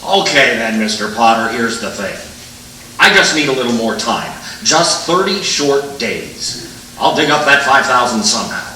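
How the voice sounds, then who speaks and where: A man's voice: mid-range voice, big pitch swings, moderate pace, bright, forceful tone. An actor playing a kindly, tired small-town father, mild and earnest, in the style of 1940s radio. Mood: pleading. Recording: echoey stage recording, distant mic, noisy.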